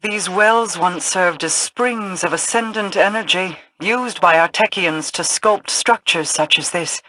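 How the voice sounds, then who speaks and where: A woman's voice: lowish voice, a little slow, bright, forceful tone, dry recording, rough recording. A woman playing a regal, formal queen in a sci-fi fantasy video game. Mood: regal, composed. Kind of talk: scripted video game voice acting.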